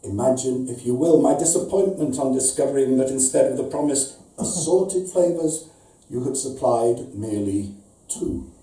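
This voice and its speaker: A man's voice: mid-range voice, expressive, normal speed, mellow, lightly acted. A man voicing a pedantic, pompous, aggrieved customer reading out a formal, wordy letter of complaint as a live comic story. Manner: formal, wordy, precise, mock-serious. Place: indoor arts venue reading, before an audience. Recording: roomy, distant live event recording.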